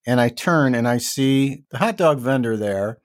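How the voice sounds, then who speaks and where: A man co-hosting a podcast, telling stories with dry, joking humor, his voice deepened by a cold. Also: expressive, moderate pace, dry recording. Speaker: podcast co-host. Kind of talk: casual podcast chat.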